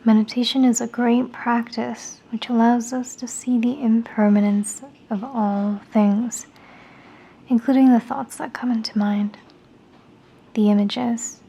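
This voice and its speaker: Soft, breathy woman guiding meditation. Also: a little high. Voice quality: soft, breathy, often near-whispering. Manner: soothing, unhurried, instructional. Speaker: meditation guide. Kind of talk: guided meditation narration.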